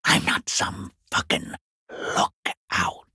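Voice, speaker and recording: A man voicing a foul-mouthed, sarcastic watcher in a video game, taunting in a rough, breathy, creaky half-whisper. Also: sharp tone, no room sound, clean studio sound. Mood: bitter sarcasm. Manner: sarcastic, taunting, drawn-out mockery. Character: sneering, foul-mouthed watcher peeking through a harbour gate gap. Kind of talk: video game character voice acting.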